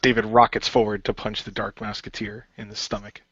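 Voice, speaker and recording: A man's voice: on the low side, flat delivery, a little fast, fairly bright tone, breathy edge, no echo, lo-fi sound. A man playing a role-playing game, mixing casual talk in character with improvised narration.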